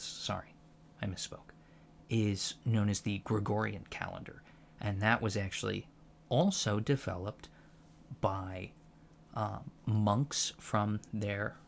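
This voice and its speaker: A man playing an earnest alien college professor in a soft-spoken ASMR lecture roleplay, halting and deliberate, carefully correcting himself as he explains. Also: on the low side. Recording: clear steady background noise.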